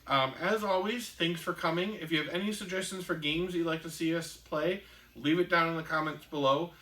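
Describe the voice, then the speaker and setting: A man hosting a casual board game show, explaining the rules in a halting way with many ums and restarts. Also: on the high side, pushed and bright, roomy sound.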